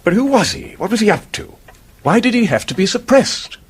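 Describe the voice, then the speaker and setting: A steady English policeman in a radio drama. He is businesslike and clipped, with lively intonation and a slightly husky voice. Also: high voice, a little fast, full character voice. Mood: matter-of-fact. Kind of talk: British radio drama acting.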